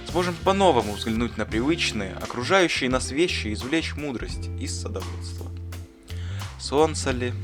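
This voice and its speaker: A man playing a wise, kindly schoolteacher in an educational cartoon, telling things in a measured, courteous, didactic way. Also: mid-range voice, close to an everyday voice. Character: wise, kindly male schoolteacher who loves gardening. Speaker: teacher. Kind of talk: scripted storytelling in an educational cartoon. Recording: music behind the voice.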